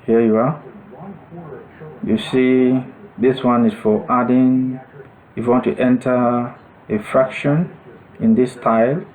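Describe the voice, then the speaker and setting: A man giving an unscripted step-by-step software demonstration, thinking aloud in a halting manner. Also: medium pitch, fairly even intonation, rough recording. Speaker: software tutorial instructor.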